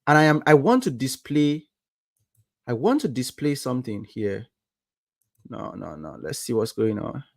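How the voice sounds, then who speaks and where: A young Nigerian man with a Nigerian accent leads a live coding lesson, working through each step slowly and at an ordinary pitch. He keeps stopping to ask "what?" as a rhetorical question. The sound is dry and close, with no room echo. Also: sing-song, gravelly. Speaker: coding livestream instructor. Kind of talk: live coding tutorial with chat.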